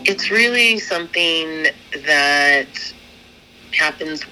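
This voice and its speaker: A man's voice: fairly high pitch, lively intonation, drawn-out words, music in the background, pitched above the performer's own voice, low-quality recording. A man putting on a vague, mystical woman shaman who rambles solemnly about inner journeys. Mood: spacey. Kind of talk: comedy bit with a put-on guest.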